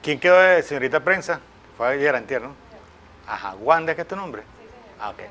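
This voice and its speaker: A man in his forties with a Venezuelan Spanish accent, hosting a live morning TV show from a studio on a lapel mic, jokey and full of quick questions. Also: fairly high pitch, expressive, fairly bright tone. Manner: jokey, scattered, asks many quick questions. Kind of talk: live TV interview.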